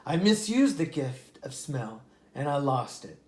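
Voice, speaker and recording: A reader voicing a proud old male wolf, humbled and unable to smell, in slow, solemn storybook dialogue. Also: medium pitch, sing-song, darkish tone, reverberant. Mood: sorrowful.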